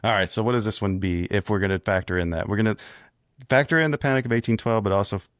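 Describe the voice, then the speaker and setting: A man's voice: fairly low pitch, fast, fairly bright tone, no room sound, studio quality. A man co-hosting a casual history podcast, easygoing and conversational as he weighs scores with his partner. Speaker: podcast co-host. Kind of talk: casual chat.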